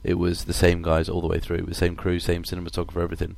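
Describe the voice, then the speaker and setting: A man with a cold and a husky voice, hosting a casual podcast chat with many hesitant fillers. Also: deep voice, monotone, quick pace, darkish tone. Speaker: podcast host.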